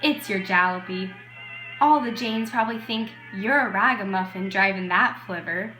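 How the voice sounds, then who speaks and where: A woman playing a brash, slangy radio reporter and pitchwoman in an amateur 1920s broadcast. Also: bright, forceful tone. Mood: teasing sales pitch. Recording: roomy echo, music behind.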